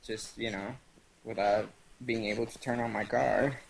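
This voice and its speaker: A young man from Venezuela whose first language is Spanish, telling stories in a halting casual interview with many fillers. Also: lowish voice, steady tone, gravelly, husky, poor audio. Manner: halting, lots of fillers and restarts. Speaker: guest. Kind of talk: casual interview over voice chat.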